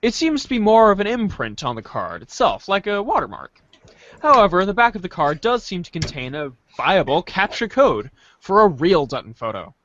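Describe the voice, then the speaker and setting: A man reading a story aloud in a playful, theatrical way, as an animated second-person narrator. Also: high-pitched, moderate pace, dry recording, highs cut off.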